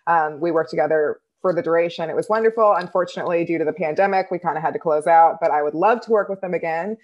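Low-voiced woman co-hosting a podcast. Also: dull audio. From United States. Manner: measured, steady delivery with frequent ums. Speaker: podcast co-host. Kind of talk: casual podcast chat.

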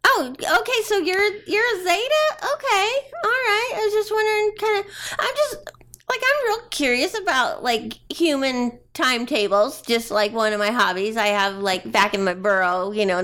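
A woman's voice: high voice, animated, normal speed, bright, forceful tone. A woman voicing a friendly, chatty male rabbit who lives in a burrow, rambling and hesitant. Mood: eager.

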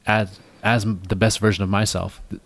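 A man's voice: lowish voice, level tone, normal speed, no room sound. A man hosting a podcast in casual conversation, musing and probing with short remarks. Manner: interjects briefly, musing and probing. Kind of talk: casual chat.

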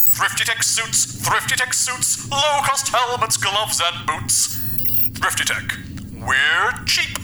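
A man playing the chirpy, oblivious computer of a cheap spacesuit, pitching like a relentless salesman in a sci-fi audio drama. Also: very animated, takes it slowly, creaky, music in the background, heavily acted, rough recording. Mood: salesy. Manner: relentlessly upbeat advertising patter.

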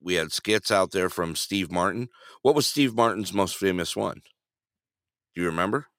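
A man's voice: low-pitched, normal speed, fairly bright tone, no room sound, studio quality. A man hosting a casual live-stream show, rambling, chuckling and reacting to viewer chat. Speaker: host.

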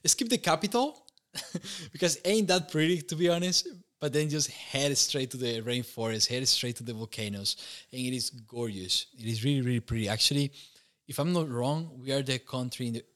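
Young Costa Rican man, Spanish accent, podcast guest, easygoing, quick to laugh. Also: mid-range voice. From Costa Rica. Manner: warm, laughs often, restarts phrases. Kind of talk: conversational interview.

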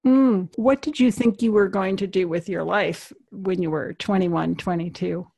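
A woman's voice: medium pitch, steady tone, normal speed, gravelly, mellow, slightly rough. A woman hosting a podcast, polished and upbeat as she introduces her guest and asks questions. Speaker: podcast host. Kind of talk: scripted intro then interview questions.

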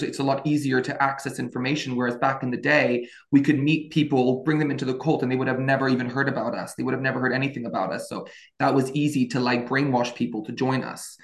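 A man in his twenties from France, a podcast guest telling his life story in a casual, hesitant way with many fillers and restarts. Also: medium pitch. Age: 20s.

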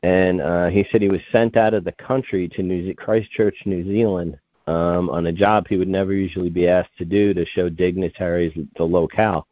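Interview guest, male, 50s; deep voice, muffled dry recording; digressive, restarts his sentences often. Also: no room sound. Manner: meandering, self-correcting, digressive. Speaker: guest researcher. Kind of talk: rambling conversational interview.

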